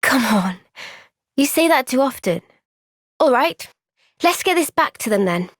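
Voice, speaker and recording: A woman playing an earnest, gentle young soldier heroine in a fantasy video game, soft-spoken, sincere and thoughtful. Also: sits high, big pitch swings, unhurried, dry recording, studio quality, heavily acted. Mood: sincere, steady. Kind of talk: video game cutscene voice acting.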